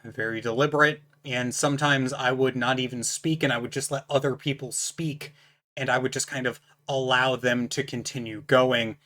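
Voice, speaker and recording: Host laying down the rules, bright sharp voice, powerful and distinct, blunt and emphatic. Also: mid-range voice, fairly even intonation, moderate pace. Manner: emphatic, lecturing, blunt rule-setting. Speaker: channel host reviewing a book. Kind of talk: casual explanatory talk to camera.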